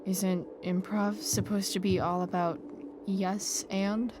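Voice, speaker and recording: Woman acting as slow, sardonic teenage girl. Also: mid-range voice, level tone, noisy background, close to an everyday voice. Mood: irritated. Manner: dry, halting, sardonic. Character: sardonic, guarded teenage girl, hurting underneath. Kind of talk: naturalistic video game drama acting.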